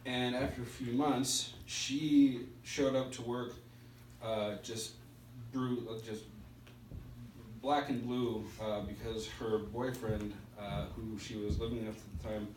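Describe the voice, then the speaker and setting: A middle-aged man with a stutter gives a personal testimony at a recovery meeting, speaking in halting, spaced-out phrases with pauses. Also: lowish voice, darkish tone. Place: indoor meeting room. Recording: roomy, distant mic with background hiss.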